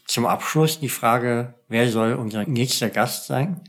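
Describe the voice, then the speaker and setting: A man hosts a podcast episode, working through prepared questions for his guest. He speaks in a plain, measured manner at a normal middle pitch for a man. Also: a bit bright. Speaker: podcast host. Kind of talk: scripted interview questions.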